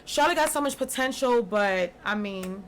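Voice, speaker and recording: A woman's voice: medium pitch, expressive, moderate pace, slight background noise. A woman from Brooklyn, a podcast guest chatting casually and laid-back about her drinks business, with slangy asides. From Brooklyn, New York.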